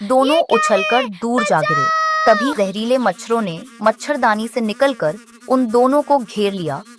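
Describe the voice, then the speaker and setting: Casting: female story narrator, slightly high pitch, scripted delivery. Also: animated, measured pace, heavy background noise.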